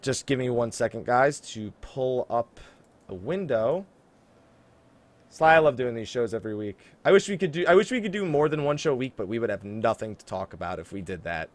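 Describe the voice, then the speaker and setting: A man's voice: medium pitch, lively intonation, fairly quick. An American man hosting a casual gaming podcast, talking informally and joking as he makes announcements. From New Jersey, USA. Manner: jokey, informal, breaks off to laugh and ad-lib. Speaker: podcast host.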